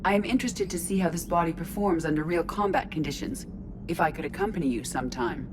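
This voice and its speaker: An actress playing a curious, logical artificial intelligence in a robot body in a video game, speaking formally, literally and with composure. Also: female voice, fairly low pitch, fast, noisy background, natural voice. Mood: calm, precise. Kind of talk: video game dialogue acting. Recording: clear ship ambience behind voice.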